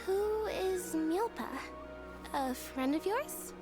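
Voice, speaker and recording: A high-voiced, polite young girl. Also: animated, slow, exaggerated voice. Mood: polite, curious. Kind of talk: fantasy video game voice acting. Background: game music.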